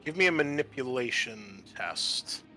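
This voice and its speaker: A man running a tabletop roleplaying game as game master, narrating informally and haltingly as he improvises the scene. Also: medium pitch, expressive, sharp tone, a little hiss or hum.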